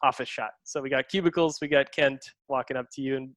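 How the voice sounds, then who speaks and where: A man's voice: medium pitch, steady tone, quick pace, fairly bright tone, no echo. A man, the director, walking through how shots were made, explaining choices with enthusiasm and many fillers. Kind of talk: behind-the-scenes commentary.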